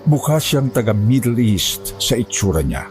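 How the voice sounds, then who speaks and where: A man's voice: mid-range voice, sing-song, normal speed, music in the background. A man reading scripted first-person narration as the narrator of a horror story.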